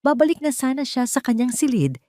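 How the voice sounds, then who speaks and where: A woman reading a dramatic scripted story in a deliberate, suspenseful way. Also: high-pitched, very animated, warm tone, dry recording, studio quality. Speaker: audio story narrator.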